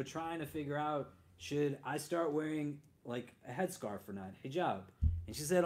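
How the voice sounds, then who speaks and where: A man's voice: a little high, darkish tone. A man teaching a class for new Muslims in a loose, conversational way, often checking with "do you get what I mean?". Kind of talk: casual spoken class.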